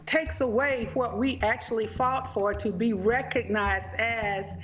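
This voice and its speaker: A woman offers earnest political commentary as a guest, in a medium-pitched voice. The sound is dull and echoey, with faint music behind. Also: steady tone, a little slow, a bit bright. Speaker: interview guest.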